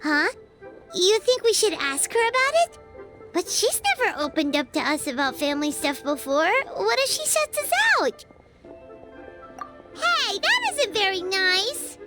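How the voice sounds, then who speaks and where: A woman voicing a tiny, chatty fairy companion in a video game, with a squeaky childlike voice and an expressive, inquisitive, naive manner. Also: measured pace, music in the background, exaggerated voice. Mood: worried. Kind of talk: video game voice acting, cartoonish.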